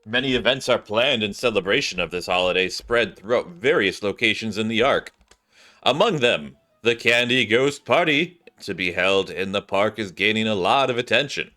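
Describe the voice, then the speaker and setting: A man doing casual game-stream commentary in an animated way, thinking aloud and sometimes reading on-screen text. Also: lowish voice, normal speed. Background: game music.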